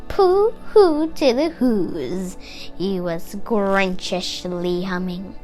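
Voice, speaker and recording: A woman reading a rhyming children's story aloud in a dramatic, deliberate storytelling manner. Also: medium pitch, expressive, a little mellow, faint music behind. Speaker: storybook reader.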